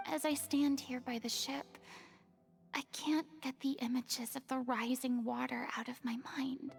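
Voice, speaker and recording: A woman voicing a remorseful young woman in a video game, speaking softly and wistfully, often half-whispered. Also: high voice, flat delivery, measured pace, gravelly, faint music behind. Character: remorseful young woman, former goddess pretender.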